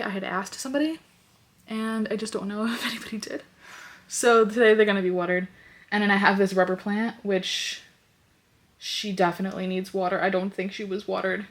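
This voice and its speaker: A young woman with a stuffy, congested nose, chatting casually in her bedroom and rambling with lots of fillers. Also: medium pitch. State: congested, sniffly. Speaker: lifestyle vlogger. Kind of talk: casual vlog chat. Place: bedroom at home.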